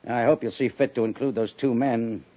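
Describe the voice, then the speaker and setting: An American man playing a brisk, stern but understanding army major in a 1950s radio drama. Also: medium pitch, fairly even intonation, warm tone, natural voice. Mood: firm, official. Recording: muffled 1950s radio recording.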